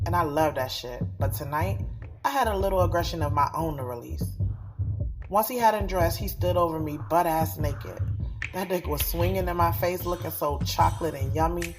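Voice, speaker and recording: A woman's voice: on the low side, expressive, moderate pace, a little mellow, faint music behind, natural voice. A woman playing a frank, sassy young woman in an audio drama, candid and slangy as she tells of her love life. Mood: confessional, frank. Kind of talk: first-person audio drama narration and dialogue.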